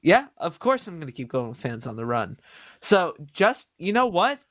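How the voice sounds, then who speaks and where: A man hosting a podcast in a casual chat, with a nasal voice, excitable and stumbling over his words. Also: on the high side, normal speed, dry recording. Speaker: podcast host.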